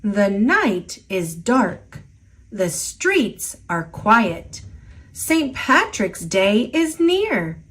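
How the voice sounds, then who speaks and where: A woman's voice: mid-range voice, big pitch swings, extremely slow, fairly bright tone, heavily acted. A woman voicing a mischievous, boastful little old male leprechaun in a storybook, with a playful, taunting, rhyming lilt. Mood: sly, mischievous. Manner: playful, taunting, sing-song rhyming delivery.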